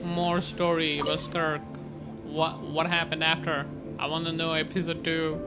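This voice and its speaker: A man's voice: on the high side, completely flat delivery, measured pace. A man doing casual gameplay commentary with short, offhand reactions. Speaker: gaming streamer. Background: game music.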